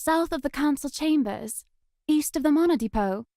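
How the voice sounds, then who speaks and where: A woman playing a solemn, mysterious young witch girl in a visual novel, speaking in formal, measured phrases. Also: high-pitched, expressive, mellow, dry recording, clean studio sound. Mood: earnest. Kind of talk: visual novel character acting.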